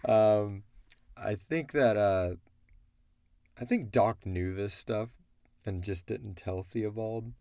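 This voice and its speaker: A man with a stuffy, congested voice chatting casually and low-key as a host answering fan questions. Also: takes it slowly, long pauses, dark tone, no echo, clean studio sound. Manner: low-key, unenthusiastic-sounding. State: congested from a cold. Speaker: host of an audio drama Q&A show.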